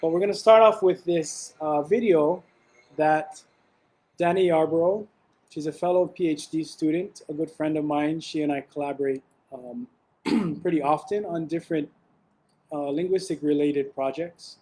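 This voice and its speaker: A young man presenting an academic webinar over a video call, talking in a relaxed way with frequent ums and restarts. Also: on the high side, normal speed, rough recording.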